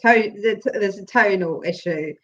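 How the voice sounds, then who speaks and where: A woman's voice: mid-range voice, normal speed, a bit bright, highs cut off. A woman hosting an interview show, chatty and encouraging, often laughing. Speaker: podcast host. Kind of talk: casual interview.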